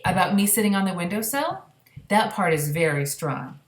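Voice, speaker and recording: A woman's voice: on the low side, very animated, moderate pace, roomy sound. Woman as a polite, spirited little storybook girl. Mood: earnest. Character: small young girl, polite, spirited, questioning.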